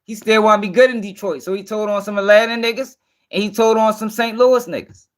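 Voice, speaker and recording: Guy w high voice hosting a stream, blunt slangy talk, swears, says stuff twice to make his point. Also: fairly even intonation, a little fast. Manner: blunt, repeats himself for emphasis. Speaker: host. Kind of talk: casual livestream commentary with slang and swearing.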